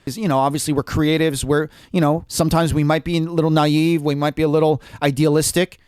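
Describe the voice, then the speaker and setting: Male podcast co-host, animated talker, crisp voice, dry close-miked sound. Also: a little high, brisk, no echo. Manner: animated, quotes people in acted asides. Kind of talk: casual podcast discussion.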